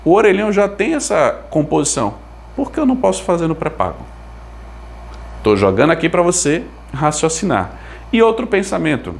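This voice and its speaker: A man's voice: fairly high pitch, huge pitch swings, a little fast, creaky, slight background noise. A Brazilian man giving an informal explanation to viewers, thinking aloud in a conversational way with rhetorical questions. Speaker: video creator explaining consumer telecom topics.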